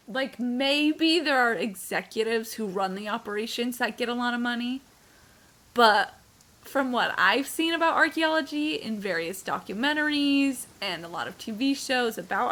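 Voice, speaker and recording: A woman's voice: a little high, lively intonation, moderate pace, a bit bright. A woman live streamer giving casual game commentary in a blunt, sweary, offhand way.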